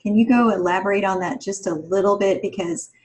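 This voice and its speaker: A woman's voice: medium pitch, brisk, darkish tone. A woman co-hosting a podcast, speaking in a casual conversational style. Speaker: podcast co-host.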